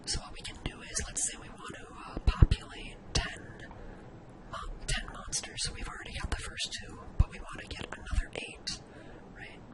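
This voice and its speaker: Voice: moderate pace, low-quality recording. Someone whispering an informal ASMR-style spreadsheet tutorial, thinking aloud while demonstrating each step.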